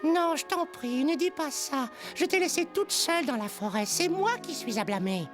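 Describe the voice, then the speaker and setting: A woman's voice: high voice, animated, normal speed, over music. A woman voicing an earnest, determined young male rabbit hero in a children's cartoon, eager and boyish. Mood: apologetic. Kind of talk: animated children's film dub.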